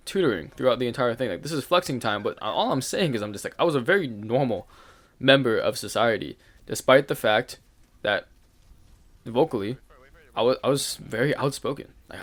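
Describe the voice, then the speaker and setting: A young man hosting his channel, telling a personal story in a candid, rambling way, with fillers, swearing and occasional laughs. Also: mid-range voice. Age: young adult. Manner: laughs now and then while talking. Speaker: channel host. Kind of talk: casual storytelling rant.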